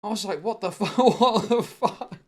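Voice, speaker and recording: A man's voice: high voice, normal speed. Rambling, sweary British man livestreaming. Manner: rambling, sweary, animated storytelling. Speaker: livestream host. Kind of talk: casual chat to a livestream audience.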